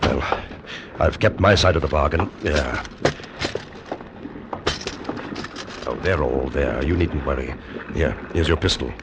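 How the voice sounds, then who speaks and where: An actor playing a cool, well-spoken convicted murderer in an old-time radio drama, scheming and earnestly persuasive. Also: male voice, a bass voice, moderate pace. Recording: noisy old radio recording.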